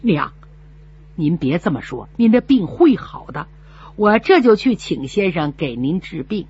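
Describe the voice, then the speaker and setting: A woman's voice: on the low side, sing-song, a little slow, darkish tone, slightly rough, lo-fi sound, exaggerated voice. A woman voicing a devoted, hot-tempered young son in a spoken story, talking earnestly and defiantly.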